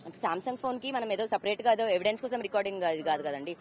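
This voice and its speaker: A woman's voice: mid-range voice, lively intonation, quick pace, a little mellow. A woman, giving a personal account as an interview guest and mixing in English words. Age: 30s. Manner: code-mixes English words into her talk.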